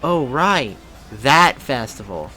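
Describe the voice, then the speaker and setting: A flustered young man, fumbling for explanations and playing along, as a male reader voices him. His voice sits at a middling pitch with a bright edge, and soft music plays faintly behind him. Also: big pitch swings, slow pace, full character voice, rough recording. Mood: nervous. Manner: nervous, hesitant.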